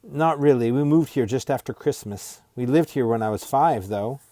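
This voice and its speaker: A man's voice: medium pitch, normal speed, warm tone, dry recording, pitched above the performer's own voice, close to an everyday voice. A man voicing a guarded, wry teenage girl in a storybook, speaking hesitantly with a dry, challenging edge. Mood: reserved.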